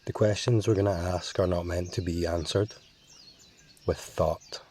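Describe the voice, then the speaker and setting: A man leads a guided meditation in a calm, measured voice and leaves long pauses between phrases. His voice is deep and steady and moves very little, and he speaks very slowly. Faint birdsong sits quietly behind him. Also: a little hiss or hum.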